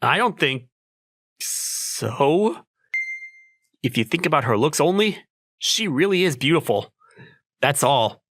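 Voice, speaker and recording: A man's voice: fairly high pitch, big pitch swings, slow pace, pauses often, a bit bright, dry recording, full character voice. A man playing an awkward, modest young working man in a romcom manga dub, musing dryly and hesitantly about himself. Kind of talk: manga dub voice acting with inner monologue.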